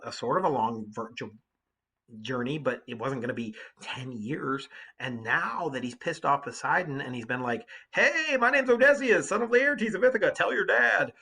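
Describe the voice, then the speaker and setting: A man's voice: medium pitch, a bit bright, husky. A man reading literature aloud as a teacher, breaking off to explain it in an emphatic, colloquial way. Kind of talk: literature read aloud with informal commentary.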